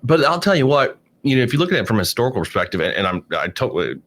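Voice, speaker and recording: A man's voice: mid-range voice, sing-song, very fast, a bit bright. A man in his forties talking politics in a casual podcast chat, rambling with many restarts and fillers. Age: 40s. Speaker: podcast guest.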